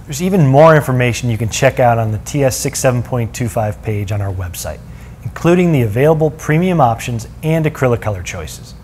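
A man's voice: medium pitch, animated, brisk, a little mellow, slight background noise. A man in his forties presenting a product in a polished, upbeat sales manner, speaking from a showroom on a lapel mic. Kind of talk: scripted product walkthrough. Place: spa showroom with large windows.

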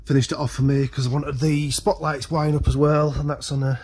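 A man's voice: on the high side, little pitch movement, brisk, darkish tone, breathy edge. A man giving casual, hesitant vlog commentary, thinking aloud as he works inside a car. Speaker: vlogger showing a DIY vehicle project.

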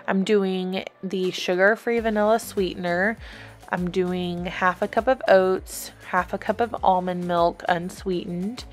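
A woman giving a chatty, informal voice-over as a vlogger explaining meal prep. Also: lowish voice. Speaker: vlogger, host. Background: background music.

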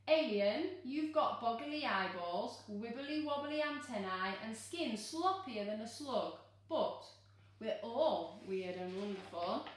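A woman reads a children's storybook aloud in a very echoey room, some distance from the microphone. She is expressive and full of exclamations, coaxing young listeners along. Her voice sits fairly high. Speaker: teacher reading a picture book to children. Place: living room, seated on a sofa. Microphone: camera a few metres away.

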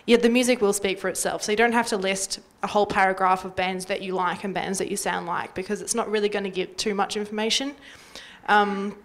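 A woman's voice: medium pitch, steady tone, brisk. Young aussie woman chatting on a panel, kinda wry, handheld mic. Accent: Australian. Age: young adult. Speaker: panellist from community radio. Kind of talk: casual panel talk. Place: indoor workshop panel before a small audience. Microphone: handheld microphone.

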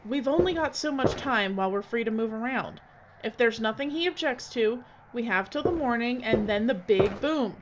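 A woman's voice: fairly high pitch, animated, moderate pace, lightly acted. An actress playing a decisive, level-headed woman who takes charge in an audio drama, with a dry, bossy manner. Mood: firm, reasoning. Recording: dull lo-fi audio with clear noise.

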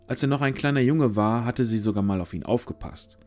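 A man's voice: mid-range voice, brisk, darkish tone, faint music behind. A man narrating a scripted fantasy audio drama as its storyteller.

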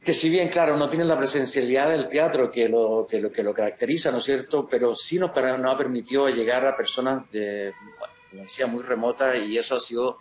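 A man's voice: medium pitch, slight background noise. Man in his sixties, roomy call. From Chile. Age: 60s. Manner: halting, many restarts and fillers. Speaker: actor, interview guest. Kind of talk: casual interview talk. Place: home room, video call. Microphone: laptop camera mic on video call. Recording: roomy video call audio.

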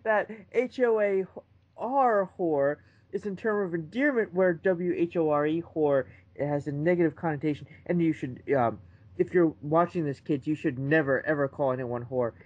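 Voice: mid-range voice, moderate pace, darkish tone, dull audio. A video co-host in casual, joking chat, rambling through mock explanations.

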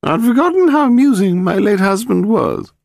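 A man's voice: sits high, very animated, normal speed, gravelly, a little mellow, no room sound, clean studio sound, voice pushed up, exaggerated voice. A man voicing a haughty, amused older aristocratic lady in an audiobook, with a theatrical, condescending manner. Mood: amused, languid.

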